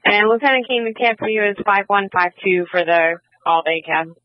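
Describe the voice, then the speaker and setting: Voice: medium pitch, moderate pace, no echo. A police dispatcher passing information to units over a two-way radio. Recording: dry, tinny police scanner radio.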